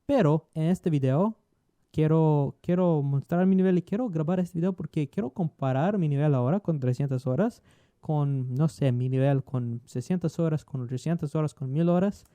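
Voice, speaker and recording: A man's voice: a little high, brisk, dark tone, no room sound. A man talking casually about his progress as a learner, hesitating and repeating words as he searches for phrasing. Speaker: language learner in clip. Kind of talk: casual self-recorded talk.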